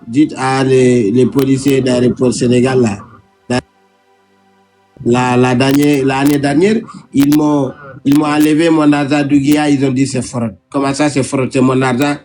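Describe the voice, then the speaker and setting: A man's voice: mid-range voice, flat delivery, a little slow, a little mellow, faint music behind. West African man, stumbling indignant French. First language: Fula. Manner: stumbling, repetitive, indignant complaining. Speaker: comedy vlog host. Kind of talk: casual comic chat.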